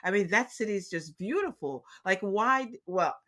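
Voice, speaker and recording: A man's voice: high-pitched, animated, normal speed, sharp tone. A man from Nigeria hosting a podcast interview, chatty and upbeat. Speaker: podcast host. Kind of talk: casual interview.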